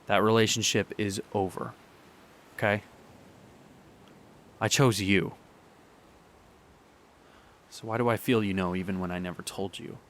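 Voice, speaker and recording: A young man performing a radio drama monologue, halting and sighing as he pleads with an absent partner. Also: on the low side, fairly even intonation, a bit bright. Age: young adult. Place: beside the sea.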